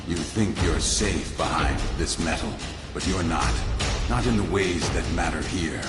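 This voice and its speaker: A man playing a stern, menacing warrior mentor in a video game, speaking gravely and threateningly. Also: sits low. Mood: stern warning. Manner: slow, grave, threatening delivery. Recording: echoey voice under loud game music.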